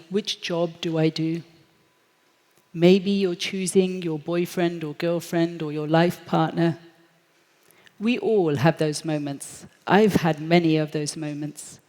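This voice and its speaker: A woman's voice: on the low side, mellow. A middle-aged British woman giving a reflective stage talk in a large auditorium. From Britain. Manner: measured, reflective storytelling. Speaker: speaker. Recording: echoey hall.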